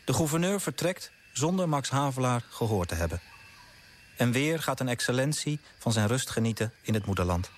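Radio drama narrator: actor as male storyteller, mid-pitched, scripted delivery, faint noise behind. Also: big pitch swings, normal speed, close to an everyday voice. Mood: matter-of-fact. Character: male storyteller recounting a man's fruitless petitions.

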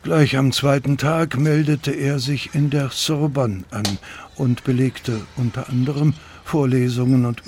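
A man acting as a fussy Parisian landlord delivers his informant's report in a radio play. He speaks slowly at a medium pitch, with stilted, prim formality, over faint background noise. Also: darkish tone, close to an everyday voice. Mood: dutiful, formal. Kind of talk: radio play monologue, formal written report read aloud.

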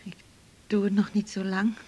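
A woman's voice: medium pitch, monotone, moderate pace, darkish tone, slightly rough, natural voice. An actress playing a shy, confiding married woman patient in a radio drama, speaking hesitantly. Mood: shy, relieved.

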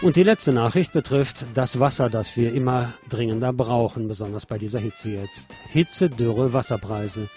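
A man's voice: mid-range voice, moderate pace, hardly any pauses. A man presenting scripted news as a radio host, adding sardonic, opinionated commentary of his own. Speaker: radio show host reading local news. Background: music with guitar.

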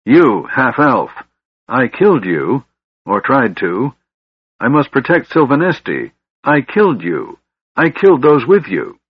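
A man's voice: mid-range voice, big pitch swings, measured pace, husky, no echo, dull audio, voice pushed up, exaggerated voice. A man voicing a frail, tormented elf king from a fantasy storybook, delirious and strained. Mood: hateful fury.

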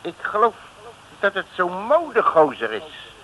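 An actor playing a grumbling, colloquial Dutch detective who hesitates and mutters, in an old radio comedy serial. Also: male voice, sits high, wildly swooping pitch, measured pace, bright, forceful tone, heavily acted. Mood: grumbling, puzzled. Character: blustering, grumbling Dutch male detective abroad. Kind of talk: 1950s-60s radio comedy serial acting. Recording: noisy vintage radio recording.

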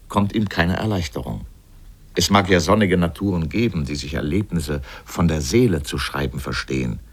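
A man's voice: low voice, breathy edge, heavily acted. A man delivering scripted literary commentary for radio in an erudite, formal and ironic manner. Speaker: essayist narrator in a radio feature.

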